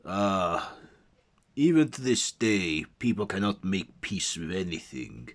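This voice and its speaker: A man puts on an ancient vampire prince in armor, proud and disdainful. The delivery is low and deliberate, stately like a lord in a gothic tale. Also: expressive. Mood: haughty. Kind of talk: dramatic storytelling character voice.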